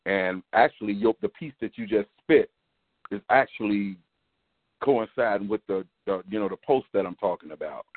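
A man's voice: on the low side, moderate pace, dry recording. A man talking casually and earnestly on a radio show, in a brotherly, encouraging way. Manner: earnest, brotherly, repeats "man" and "you know". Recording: muffled dry call-in radio line.

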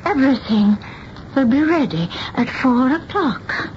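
An actress playing a fussy, doting older seamstress in a radio drama, halting and repeating her words. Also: high-pitched, animated. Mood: dreamy, pleading. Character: fluttery, eccentric, doting older spinster dressmaker. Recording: dull, slightly noisy 1970s radio recording.

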